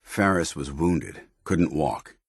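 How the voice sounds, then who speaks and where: A stern, duty-bound military officer from a video game. His voice is extremely low and rough-edged, recorded dry and close. He speaks in clipped, formal phrases with the weight of command. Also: expressive, slow pace, no room sound, exaggerated voice. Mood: grave briefing. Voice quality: deep, gravelly. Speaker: video game companion character. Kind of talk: scripted video game dialogue.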